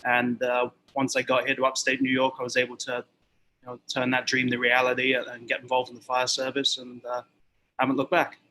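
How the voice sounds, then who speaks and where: A man's voice: mid-range voice, monotone, a little fast, sharp tone, poor audio. A man with an English accent, chatting casually as a guest on a live show over a video call. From England. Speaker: volunteer fire captain and guest. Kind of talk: casual podcast chat.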